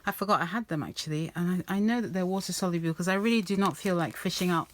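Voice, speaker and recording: A woman rambling softly with many ums and restarts as she works on an art journal page, her voice a little croaky. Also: on the low side, fast, a little hiss or hum. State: slightly croaky voice. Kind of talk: casual craft-along chat.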